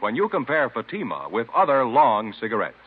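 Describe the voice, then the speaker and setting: A tinny old radio recording of a male commercial announcer. His husky voice swings animatedly up and down as he delivers an emphatic, polished sales pitch. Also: big pitch swings, a little fast, soft background music. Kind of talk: 1950s radio advertising pitch.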